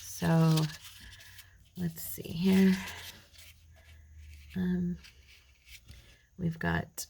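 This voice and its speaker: Tired gravelly woman crafting. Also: fairly low pitch, level tone, extremely slow, long pauses, slight background noise. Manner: chatty, rambling, talks to viewers while working; mutters softly to herself while working. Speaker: craft channel host. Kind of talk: casual crafting tutorial chat.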